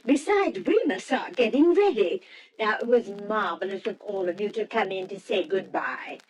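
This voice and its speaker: An actress in an old-time radio drama playing a bossy, chattering professor's wife, imperious and theatrically emphatic. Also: female voice, medium pitch, expressive, normal speed, darkish tone, lightly acted. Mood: sociable, domineering.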